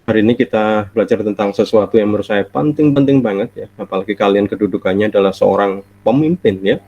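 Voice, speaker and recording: Low-voiced man, middle-aged, nasal and stuffed up, dull lo-fi call audio. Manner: friendly, chatty, laughs often, drawn-out fillers. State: stuffy nose from a cold. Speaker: university lecturer. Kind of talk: online university lecture. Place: at home.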